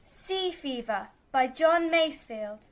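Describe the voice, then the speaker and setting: A woman's voice: high voice, animated, slow pace, heavy background noise. A woman playing a dutiful young female who declaims poetry in a radio drama. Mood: declaiming. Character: dutiful young female reciting a poem at home.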